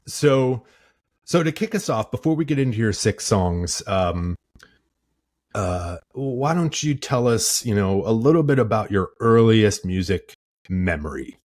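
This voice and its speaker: A man's voice: on the low side, expressive, unhurried, darkish tone, no room sound. A man hosting a podcast, moving between a prepared introduction and casual conversational talk. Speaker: podcast host.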